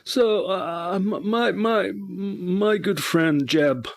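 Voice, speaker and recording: A man playing a halting, naive tech-guru character who stammers and rambles through a comedy radio monologue. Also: high voice, gravelly, music in the background, heavily acted. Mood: philosophical, halting. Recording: noisy recording with a hum.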